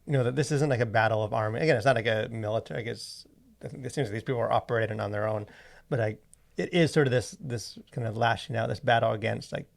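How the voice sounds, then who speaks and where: A male podcast host chats casually in a medium-pitched voice with noticeable vocal fry. His delivery rambles and stops and starts, padded with plenty of filler words as he works through each thought. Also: quick pace.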